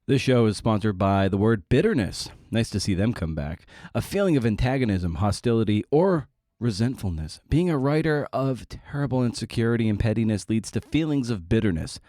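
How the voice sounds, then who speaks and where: A man's voice: on the low side, sing-song, brisk, dark tone, no room sound, studio quality. A man hosting a podcast, delivering a casual, jokey intro monologue with wry, self-deprecating asides. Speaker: podcast host.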